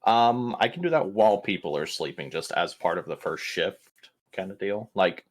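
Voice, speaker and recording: Deep-voiced man chatting at a game table. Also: expressive, moderate pace. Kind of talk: casual gaming chat.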